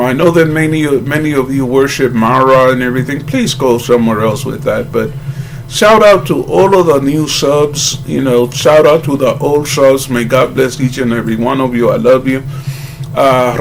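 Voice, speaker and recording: A man talking casually to his channel's viewers, rambling with halting restarts and earnest greetings. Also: mid-range voice, slight background noise, rough recording. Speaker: YouTuber.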